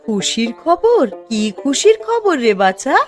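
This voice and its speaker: Woman voicing a proud, lively-toned village mother. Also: high voice, slow pace, exaggerated voice. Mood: delighted. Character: proud, feisty village mother of a grown son. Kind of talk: cartoon story acting. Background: music.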